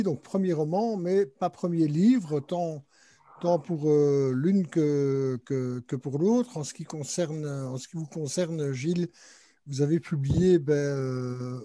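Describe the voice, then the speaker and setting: A man's voice: on the high side, warm tone. A man moderating a literary panel, introducing the guests and their books with many hesitations and fillers. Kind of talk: panel discussion hosting.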